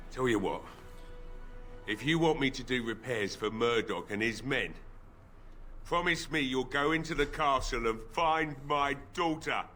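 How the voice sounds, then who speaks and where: A man's voice: medium pitch, sharp tone. A man voicing a grieving, drunk middle-aged village blacksmith who sounds weary and bitter. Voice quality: bitter, weary, slurring drink-talk. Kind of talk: video game voice acting.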